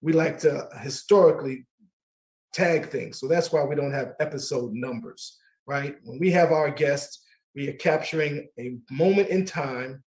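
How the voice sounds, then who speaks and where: Man, middle-aged, radio host, slightly high voice, easygoing chatter, repeats himself. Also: moderate pace. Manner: warm, laughs easily, repeats words. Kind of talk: casual talk radio hosting.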